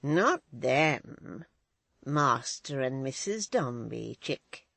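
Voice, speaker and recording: A reader voicing a sly, prying old woman in an audiobook, slow and coaxing with a breathy edge. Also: medium pitch, wildly swooping pitch, dry recording, exaggerated voice. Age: older. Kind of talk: audiobook character voice.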